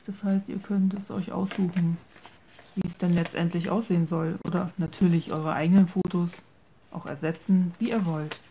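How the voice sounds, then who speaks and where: Slow, creaky-voiced woman chatting casually. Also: mid-range voice, dark tone. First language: German. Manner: hesitant, searching for words, mixing English craft terms into German. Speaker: craft video maker presenting a handmade journal. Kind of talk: casual show-and-tell narration. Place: indoors at a tabletop.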